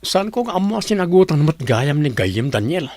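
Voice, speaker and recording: A man's voice: on the high side, sing-song, moderate pace, darkish tone, dry recording. A man acting an earnest company boss in a radio drama. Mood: confident.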